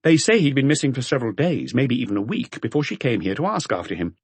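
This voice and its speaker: A man's voice: mid-range voice, big pitch swings, fast, breathy edge, no room sound, voice pushed up. A man voicing a chatty local gossip in an audiobook, repeating hearsay in an insistent, hedging way. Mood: gossipy.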